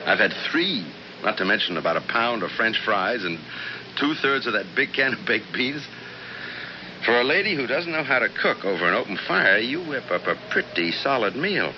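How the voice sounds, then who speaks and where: Actor as brusque husband, muffled radio drama. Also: male voice, medium pitch, animated, moderate pace, natural voice. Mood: firm, dismissive. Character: practical, impatient husband at a snowbound cabin. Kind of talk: 1970s radio drama acting. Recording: muffled, noisy 1970s radio recording.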